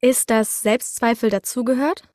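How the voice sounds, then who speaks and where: A young woman chatting casually as a podcast guest, telling things in an expressive, anecdotal way. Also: on the high side, normal speed, no echo, clean studio sound. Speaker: interview guest, voice actor.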